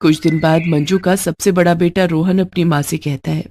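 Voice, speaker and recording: A woman's voice: on the low side, steady tone, normal speed, warm tone, a little hiss or hum. A woman narrator reading a scripted domestic tale in a storytelling style.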